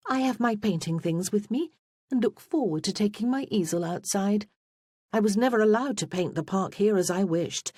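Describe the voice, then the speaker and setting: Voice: medium pitch, a little mellow, slightly rough, no room sound, studio quality, pitched above the performer's own voice. A narrator voicing a self-assured, spirited young noblewoman, wry and genteel, in a period romance audiobook.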